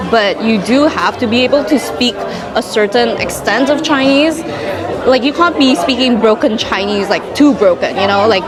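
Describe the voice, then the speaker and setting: A young woman in her twenties from Indonesia, chatting casually in a Q&A vlog, full of "like"s and asides. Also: medium pitch. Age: 20s. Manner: chatty, many "like"s, quick asides. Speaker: vlogger. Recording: music playing in the background.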